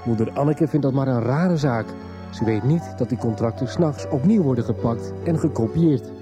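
A man's voice: medium pitch, moderate pace. A man reading a scripted, dramatic radio soap recap. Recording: 1990s radio broadcast with music behind. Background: loud music bed.

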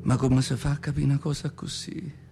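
A man's voice: mid-range voice, little pitch movement, normal speed, creaky, lightly acted. A male actor playing an elderly, devout southern Italian mother with a Neapolitan accent, telling her memories in a plaintive, emotional radio monologue. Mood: hushed grieving. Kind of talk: theatrical radio monologue in dialect. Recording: guitar music behind the voice. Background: acoustic guitar music.

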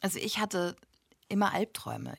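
A woman's voice: lowish voice, sing-song, moderate pace, creaky, breathy edge, full character voice. An actress playing a woman in her forties who wryly recalls an anxious childhood in a radio play. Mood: rueful, confiding.

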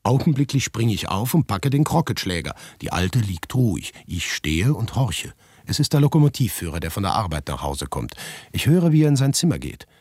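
A man playing an anxious, obsessive writer who narrates a macabre tale in a radio play, tense and deliberate, often dropping to a whisper. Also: lowish voice, very animated, a little mellow, husky, dry recording. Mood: wary. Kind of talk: dramatic first-person literary narration in a radio play.

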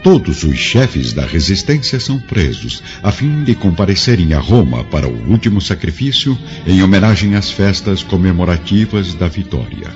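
A Brazilian man narrating a radio drama in a solemn, theatrical style with measured phrasing. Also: deep voice, big pitch swings. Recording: dull lo-fi audio, music underneath.

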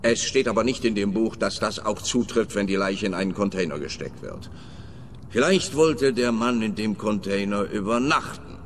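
A man plays a pompous police officer in a radio play who rambles and lectures. His voice sits on the low side. Faint noise runs underneath. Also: moderate pace, close to an everyday voice. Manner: pompous, blustering.

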